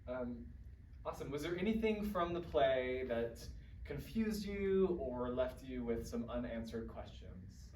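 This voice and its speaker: A man's voice: medium pitch, big pitch swings, a little slow, a little mellow, a little hiss or hum. Man, discussion moderator, mid-range voice, distant mic, echoey room. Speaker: talkback moderator. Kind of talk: facilitating audience feedback discussion. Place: indoor theatre talkback, recorded at a distance.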